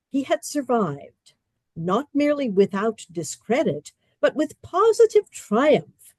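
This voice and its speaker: Slow, mellow woman narrating a radio play. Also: lowish voice, no echo. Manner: measured storytelling with dry comic emphasis.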